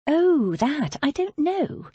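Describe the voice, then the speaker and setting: Woman voicing a soft-spoken anxious young lady. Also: high voice, big pitch swings, slow, no room sound, highs cut off, voice pushed up, exaggerated voice. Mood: polite, uneasy. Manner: soft, polite, hesitant.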